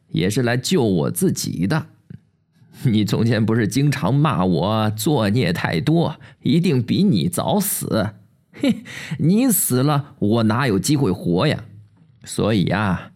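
A man voicing a drunken, boisterous old village chief who teases loudly and cackles. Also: fairly low pitch, exaggerated voice. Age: older. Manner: loud, boisterous, teasing, cackling.

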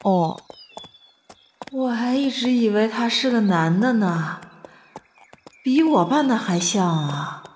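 A woman's voice: a little high, lively intonation, takes it slowly, pauses often, darkish tone, slight background noise. A woman playing a carefree, naive young princess who passes as a boy, artless and hesitant, in a period audio drama. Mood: flustered, surprised.

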